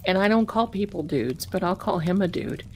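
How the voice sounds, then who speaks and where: A middle-aged woman casually chatting with her live-stream viewers as a tarot reader, rambling warmly and calling them her darlings. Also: fairly low pitch, animated, moderate pace, a little hiss or hum.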